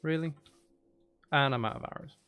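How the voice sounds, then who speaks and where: A man's voice: a little high, moderate pace. A man giving casual live commentary while streaming a video game, muttering wry, exasperated asides to himself.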